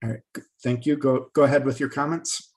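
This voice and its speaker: A man chairing a public meeting, brisk and a little flustered as he calls on speakers. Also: medium pitch, fairly even intonation, a little mellow.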